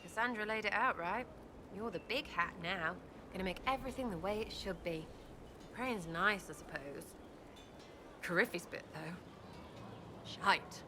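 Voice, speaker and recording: A woman performs a young, irreverent street rebel for a fantasy game. She has a British accent, a mid-range pitch and a cheeky, slangy, choppy way of talking. Clear ambient noise sits behind her. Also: unhurried, pauses often, lightly acted. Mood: flippant. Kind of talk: video game dialogue acting. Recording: clearly noisy ambient background.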